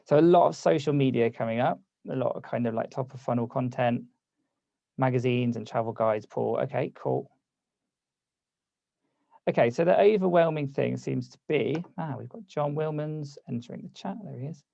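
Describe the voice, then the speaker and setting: Gravelly guy running a zoom workshop, losing his voice, dark tone, kinda dull dry sound. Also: mid-range voice, normal speed, dry recording. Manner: casual, conversational, reads chat aloud. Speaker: workshop host. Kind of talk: live online workshop, coaching. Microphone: video call.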